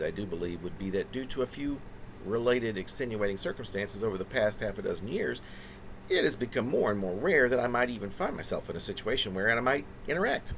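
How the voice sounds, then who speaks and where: A man reading his own reflective, autobiographical poetry in halting, deliberate phrases that wind through long, wordy sentences. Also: on the low side, low-quality recording. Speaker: poet reading own work.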